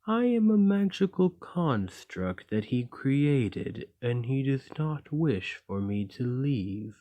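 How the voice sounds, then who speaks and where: Man voicing a slow, formal, gentle golem. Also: medium pitch, big pitch swings. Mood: measured, coaxing. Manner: formal, measured, courteous, no contractions. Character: gentle, lonely, imprisoned male golem, politely formal. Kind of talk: ASMR roleplay acting.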